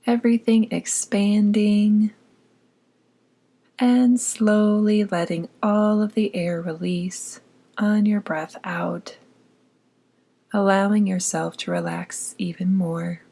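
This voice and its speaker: A woman leads a guided meditation at a very slow, unhurried pace. Her mid-pitched voice is soft and breathy, often close to a whisper. She gives gentle, soothing instructions as she goes. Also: steady tone. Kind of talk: scripted guided meditation narration.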